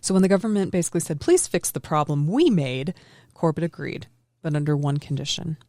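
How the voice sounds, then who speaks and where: A woman with a gravelly, fry-edged voice tells a story in relaxed podcast chat. The room is dry and close, with no echo. She is a bit apologetic and self-deprecating. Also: deep voice, brisk. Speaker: guest storyteller.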